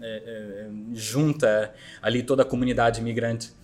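A man's voice: mid-range voice, level tone. A man in his forties with a Portuguese accent tinged with Brazilian, talking casually as an interview guest and often restarting his sentences. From Terceira, Azores. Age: 40s. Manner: halting, many restarts and fillers. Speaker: podcast guest.

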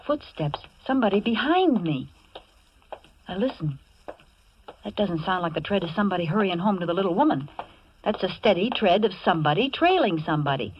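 A woman's voice: medium pitch, lo-fi sound, natural voice. An actress playing a wisecracking, nervous young American working woman who narrates her own danger in slangy 1940s radio suspense style. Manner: slangy, hard-boiled, wry. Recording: noisy, band-limited old radio recording.

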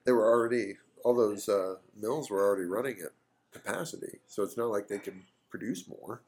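A man co-hosting a podcast, loud and full of quick joking asides and fillers while tasting bourbon. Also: fairly low pitch, breathy edge. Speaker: podcast co-host. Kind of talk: casual chat over bourbon tasting.

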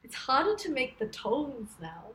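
A young woman chatting casually as a podcast co-host, reacting quickly and filling her talk with fillers. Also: high voice, big pitch swings, a bit bright. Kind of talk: casual chat.

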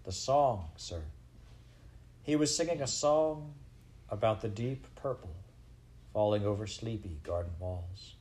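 Man playing a soft-spoken, dreamy little mouse. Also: fairly low pitch, a little slow, long pauses, natural voice. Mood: polite, earnest. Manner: soft, polite, earnest. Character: Despereaux, small, gentle, dreamy, polite young male mouse.

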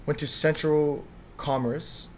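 A young man, talking as a guest in a casual interview in a relaxed, easygoing way with frequent "like"s. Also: a little high, slow, a little hiss or hum. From Slovakia. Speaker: guest, clothing designer.

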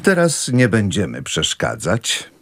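An actor playing a genial, courteous family friend in a radio play, given to proverbs and gentle advice. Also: male voice, on the low side, wildly swooping pitch, takes it slowly, full character voice. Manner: jovial, courteous, sententious.

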